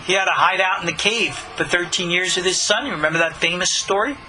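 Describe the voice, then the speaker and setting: A man's voice: sits high, fast, noisy background. A man, a rabbi, teaching scripture in an earnest, explanatory way, raising his voice on key points. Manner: earnest, explanatory, rising emphasis on key words. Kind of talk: recorded Bible study lesson.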